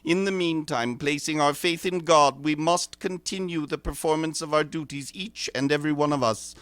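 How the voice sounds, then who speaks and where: A man playing a grave government secretary addressing the nation in an amateur radio drama, speaking in a solemn, measured way. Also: fairly high pitch, a bit bright, clear, resonant tone, dry recording, natural voice. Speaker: Secretary of the Interior.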